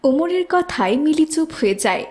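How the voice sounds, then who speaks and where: A woman narrator with a high voice tells a scripted children's story. She reads it as a moral tale. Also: expressive, normal speed, darkish tone.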